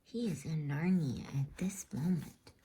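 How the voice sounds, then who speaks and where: A woman voicing a grave, homely male talking beaver in a slow, folksy bedtime story reading. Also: low voice, dark tone, a little hiss or hum. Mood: reverent.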